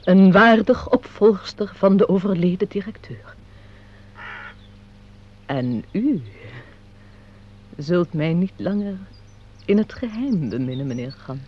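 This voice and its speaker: An actress playing an imperious, scheming widow and company director in a radio play, haughty and formal in delivery. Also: female voice, lowish voice, animated, slow pace, pauses often. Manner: haughty, formal, theatrical declamation. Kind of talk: Dutch radio play acting. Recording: dull, muffled radio play recording.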